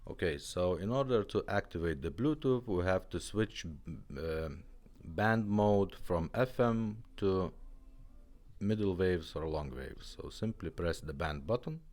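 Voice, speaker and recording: A man giving a casual, informal product demonstration in a halting delivery, as a vlogger. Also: low voice, animated, a little mellow.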